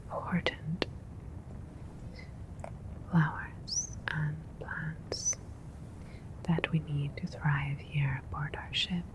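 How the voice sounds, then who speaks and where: Woman as a slow whispering alien scientist. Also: low-pitched, poor audio. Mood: proud, calm. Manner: slow, deliberate, soothing clinical whisper. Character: gentle, admiring alien scientist doctor tending a plant-human creation. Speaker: ASMR roleplay performer. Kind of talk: whispered ASMR roleplay.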